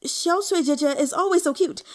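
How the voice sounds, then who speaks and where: A woman voicing an excitable, naive young man in an audiobook, whiny and indignant, rushing and stammering through his complaints. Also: sits high, pitched above the performer's own voice, close to an everyday voice. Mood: rushed, eager. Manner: rushed, stammering, melodramatic complaining. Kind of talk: audiobook character voice.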